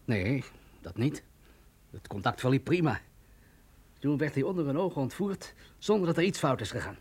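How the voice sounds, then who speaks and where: Husky, anxious security chief. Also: male voice, medium pitch, animated, normal speed, a little mellow. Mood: nervous. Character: anxious male security chief, wound tight, cynical strategist. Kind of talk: radio drama dialogue.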